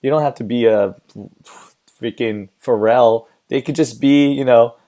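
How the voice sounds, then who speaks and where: A man from the Bay Area hosting a casual podcast interview, with a hoarse, raspy voice because he has lost his voice. He talks in a friendly, encouraging way. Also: medium pitch, mellow. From San Francisco Bay Area. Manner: friendly, encouraging, quick to laugh and agree. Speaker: podcast host.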